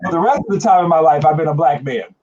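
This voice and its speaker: A man in his late forties talks in a reflective, emphatic way, as a guest in an earnest discussion. His voice is warm and relaxed with a husky edge. The recording is a little dull and lo-fi. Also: on the high side, fast talker. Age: late 40s. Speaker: guest, HIV organization leader. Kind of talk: earnest conversational panel discussion.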